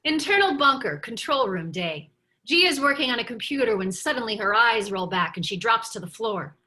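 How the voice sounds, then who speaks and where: Bright, high-pitched woman narrating. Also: lively intonation, moderate pace. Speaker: narrator reading stage directions. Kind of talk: scripted narration at a table read.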